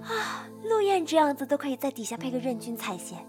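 Very high, gushing young fangirl. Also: very animated, fairly quick, a little mellow. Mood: thrilled. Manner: gushing, squealing. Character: excitable, gushing young female crew fan. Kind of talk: Chinese audio drama acting. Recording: music behind the voice.

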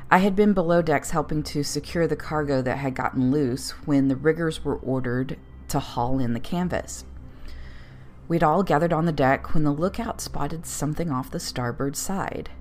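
A woman reading a story as its author, in a dramatic, measured and suspenseful narrating style. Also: sits low, a little mellow. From United States. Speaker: author reading own tale.

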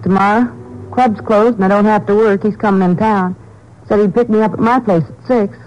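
An actress playing a weary, nervous nightclub performer in a 1950s radio crime drama, plain-spoken and slangy. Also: female voice, mid-range voice, fairly even intonation, brisk, a little mellow, close to an everyday voice. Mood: wary, jaded. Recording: dull, faintly noisy old radio recording.

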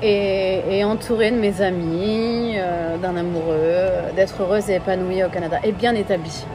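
Female podcast guest, accent from France, mid-pitched, casual, playful, emphatic repetitions, music behind. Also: normal speed. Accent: French from France. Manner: playful, giggly, expressive, repeats words for emphasis. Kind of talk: casual chat.

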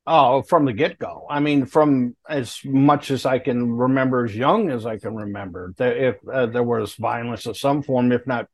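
A man's voice: mid-range voice, steady tone, normal speed, few pauses, dry recording. A man in his late fifties, a guest talking candidly and plainly in a casual interview. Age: 50s. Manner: candid, plainspoken, laughs between hard stories.

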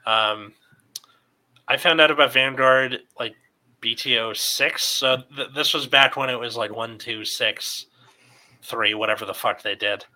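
A man's voice: mid-range voice, bright, forceful tone, low-quality recording. A man in his late twenties hosting a podcast in a loose, rambling chat full of fillers. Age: 20s. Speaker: podcast host. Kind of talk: casual chat.

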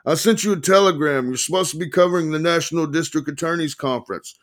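A man's voice: on the high side, quick pace, fairly bright tone, pitched above the performer's own voice, lightly acted. A man voicing an exasperated, profane attorney scolding someone over the phone in a story reading. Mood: angry, exasperated. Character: deranged, shouting male attorney on a phone call. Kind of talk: audiobook character voice.

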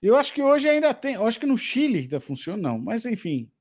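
A man's voice: very high voice, very animated, fast talker. A man with a Brazilian accent co-hosting a casual chat and explaining things to the audience. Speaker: co-host, former TV show worker.

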